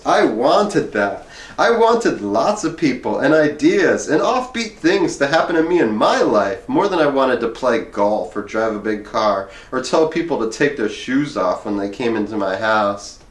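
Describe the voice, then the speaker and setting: Wry man reading aloud, echoey room. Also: mid-range voice. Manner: wry, conversational. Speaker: reader of a memoir. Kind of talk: reading aloud with casual asides. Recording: roomy, echoing room sound.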